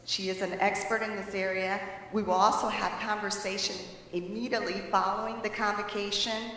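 A woman's voice: mid-range voice, level tone, a little fast, sharp tone. A woman hosting an event, formally addressing an assembly. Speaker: event host. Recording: very echoey hall with faint noise.

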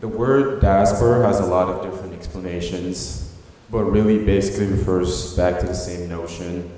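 A man with a very low, dark voice introduces speakers in an earnest, slightly halting way. He is from Sierra Leone and was raised in Belgium. His voice booms with a long reverb through a large hall. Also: fairly even intonation, slight background noise. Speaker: event emcee. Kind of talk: formal introductory speech.

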